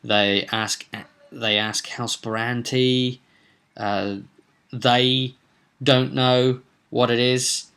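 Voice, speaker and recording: A man talking informally as a game master in a vlog, in a rambling, digressive way with halting self-corrections. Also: medium pitch, level tone, fairly bright tone, clean tone. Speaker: game master recapping his roleplaying sessions.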